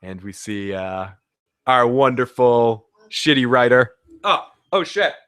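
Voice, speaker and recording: A man's voice: medium pitch, expressive, takes it slowly, fairly bright tone, clear, resonant tone, dry recording, studio quality. A man with a Boston accent, sick with the sniffles, rambling through a casual TV episode recap with restarts and cursing. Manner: rambling, restarts and swears freely. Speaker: show recap host.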